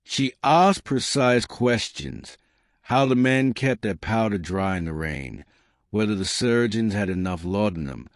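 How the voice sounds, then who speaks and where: A man reading a historical romance as scripted narration, in measured, deliberate, literary phrasing. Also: lowish voice, expressive, no room sound, studio quality. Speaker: audiobook narrator.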